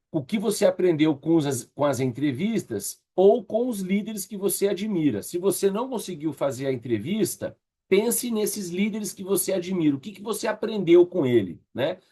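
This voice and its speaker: Slightly husky Brazilian man leading a workshop. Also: a little high, animated, normal speed, mellow. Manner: conversational, encouraging, checking in with tag questions. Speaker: course instructor leading an online workshop. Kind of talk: casual interactive online teaching.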